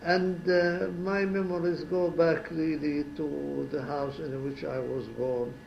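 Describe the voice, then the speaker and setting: A man in his seventies from Syria, a former ambassador giving an oral history interview at home, talking slowly and reflectively with pauses and restarts. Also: a little high, flat delivery, gravelly, reverberant, lo-fi sound, slight background noise. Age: 70s.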